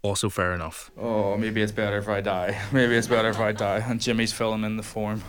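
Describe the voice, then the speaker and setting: Young male comic, low voice, dry self-mocking storyteller working a live room. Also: a little fast. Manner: self-deprecating, deadpan asides. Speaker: stand-up comedian. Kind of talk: stand-up storytelling with asides. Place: comedy venue with live audience.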